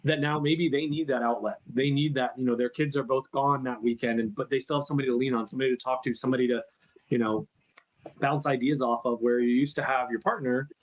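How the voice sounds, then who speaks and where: A man's voice: medium pitch, steady tone, quick pace, rough recording. A man speaking as a podcast guest, earnest and rambling, often repeating words as he works out his thoughts. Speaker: guest, real estate investor. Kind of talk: casual podcast conversation.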